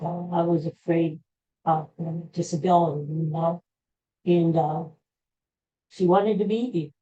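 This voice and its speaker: An older man with an American accent tells personal stories casually, in a halting, rambling, self-deprecating way. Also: on the high side, dark tone. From Pennsylvania, USA. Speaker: podcast host. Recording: dull, muffled lo-fi audio.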